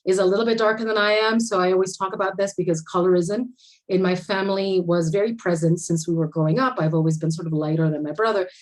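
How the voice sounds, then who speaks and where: A woman from Puerto Rico, with Spanish as her first language, presents remotely over a video call. She tells her story in a personal way, often restarting her phrases. Her voice sits a little lower than most women's. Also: steady tone, quick pace. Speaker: conference presenter.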